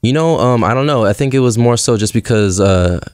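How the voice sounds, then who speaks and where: A man in his twenties from Arizona with an American accent, chatting casually as an interview guest in a calm, laid-back, slangy way. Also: medium pitch, fairly quick, clear, resonant tone, dry recording, studio quality. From Arizona, USA. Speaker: interview guest, audio engineer. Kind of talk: casual chat.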